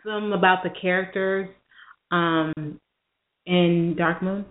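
A woman's voice: lowish voice, steady tone, takes it slowly. A woman hosting an interview, asking casual, conversational questions. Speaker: interview host. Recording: dull, lo-fi internet call audio.

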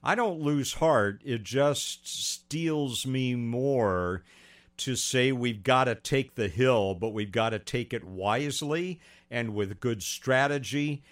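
A man hosting a radio talk show, earnest and measured, with frequent pauses. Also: mid-range voice.

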